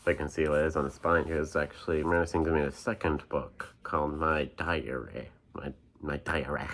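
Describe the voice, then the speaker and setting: Young man, very deep voice, stuffy nose, casual rambling vlog talk, lots of ums. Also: fairly even intonation, brisk, a bit bright. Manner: rambling, many ums and restarts. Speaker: book collection vlogger. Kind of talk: casual chat.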